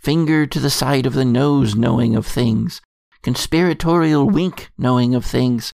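A man playing a weary, secretive and resigned keeper of lore, delivering a measured, portentous dramatic monologue. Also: medium pitch, creaky, darkish tone, no room sound, clean studio sound. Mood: rueful. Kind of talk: scripted dramatic narration.

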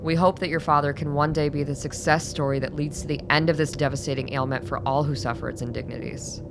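Female actor as measured, probing middle-aged doctor, radio play, low voice, clear background noise. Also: steady tone. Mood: formal, guarded. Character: composed, tough late-forties female doctor with hidden agenda.

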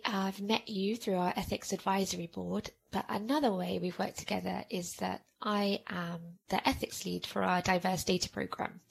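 A woman hosting a podcast interview, talking in a reflective, measured way with some fillers. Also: medium pitch, vocal fry, low-quality recording. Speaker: podcast host.

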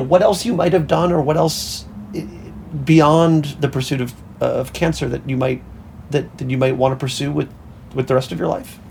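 Gravelly-voiced man asking interview questions. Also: medium pitch, a little mellow, slight background noise. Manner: hesitant, circling phrasing with repeated clauses. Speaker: interviewer, host.

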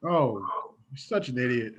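A woman playing a curt, scornful wife who berates and dismisses haltingly, in an improvised comedy radio play. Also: a bass voice, lively intonation, breathy edge, heavily acted. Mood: disdainful.

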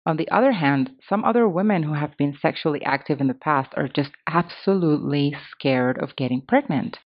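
A woman's voice: deep voice, animated, brisk. Woman podcaster, dull muffled audio. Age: 30s. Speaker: podcast host. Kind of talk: scripted podcast narration with personal commentary.

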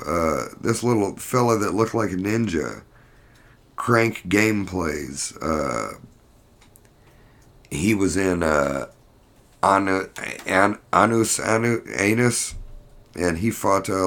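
A man's voice: sits low, expressive, takes it slowly, long pauses, a bit bright. A man hosting a podcast, giving a casual fight recap in a blunt, rambling, profane manner. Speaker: podcast host.

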